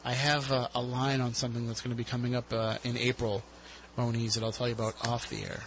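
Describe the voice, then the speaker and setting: A man's voice: medium pitch, level tone, fast, background noise. A man hosting a talk radio show, chatty and jokey with lots of fillers. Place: radio studio.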